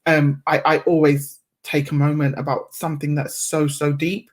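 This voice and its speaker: Measured, thoughtful woman podcast guest. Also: deep voice, little pitch movement. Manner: measured, chooses words carefully. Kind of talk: reflective conversational interview.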